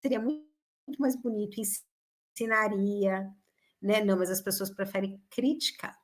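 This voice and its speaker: A woman's voice: mid-range voice, a little slow. A woman co-hosting a casual chat, talking emphatically and giving firm opinions. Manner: emphatic, opinionated, animated storytelling. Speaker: co-host.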